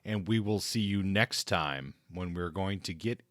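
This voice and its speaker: A man's voice: low voice, measured pace, fairly bright tone, no room sound, studio quality. A man co-hosting a podcast, discussing books in an earnest, explanatory, conversational way. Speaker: podcast co-host.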